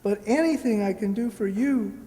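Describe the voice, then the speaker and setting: A woman's voice: medium pitch, moderate pace, mellow, lightly acted. A woman playing a polite, earnest poor boy in an amateur radio play, hesitant and deferential. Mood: earnest, humble. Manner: deferential, hesitant, eager to please. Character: polite, earnest, humble poor boy seeking work in London. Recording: echoey hall, long reverb.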